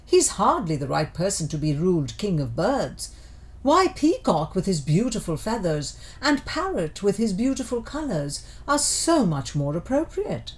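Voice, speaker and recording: An outspoken storybook crow, male and critical, argues his case to the other birds through a woman reader's voice. The pitch moves in a lively way as he persuades. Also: a little slow. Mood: disapproving.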